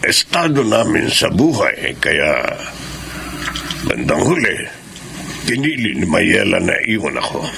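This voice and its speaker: An actor playing a frail, wealthy old man in a Filipino radio drama, speaking in a halting, solemn way. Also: low voice, very animated, gravelly, background noise, exaggerated voice. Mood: wistful. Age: older. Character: frail, wealthy old man, grave estate owner and father.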